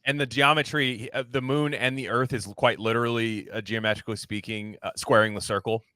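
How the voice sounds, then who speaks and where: A man's voice: mid-range voice, fairly even intonation, a little fast, sharp tone, no room sound, clean studio sound. A man hosting a casual podcast, rambling through his opinions and repeating himself for emphasis. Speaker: podcast host.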